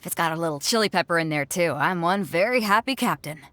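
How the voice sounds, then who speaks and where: A woman's voice: lowish voice, animated, quick pace, noisy background, lightly acted. An actress voicing a bold, blunt, hearty female sea captain in a fantasy video game, with a swaggering, colloquial delivery. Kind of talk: video game character acting.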